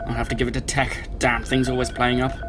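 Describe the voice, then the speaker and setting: A man's voice: mid-range voice, monotone, a little fast, background noise, natural voice. A man playing a genial but easily exasperated starship captain in an audio drama, with a hearty, formal manner. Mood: exasperated, businesslike. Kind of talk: scripted fan audio drama acting.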